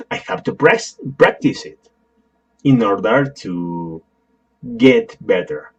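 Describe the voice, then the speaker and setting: A man with a Spanish accent, a native Spanish speaker, giving a software tutorial in a halting, apologetic way with many fillers and restarts. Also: lowish voice, muffled recording. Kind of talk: screen-recorded tutorial.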